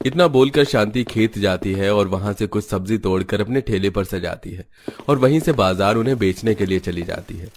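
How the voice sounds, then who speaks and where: A man's voice: fairly low pitch, normal speed, a little mellow. A man reading scripted narration for a family story.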